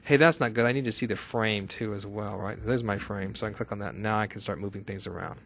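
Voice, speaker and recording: A man giving a live software tutorial to a class, chatty, often asking questions and answering them himself. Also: lowish voice, quick pace, creaky, slight background noise. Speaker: software instructor.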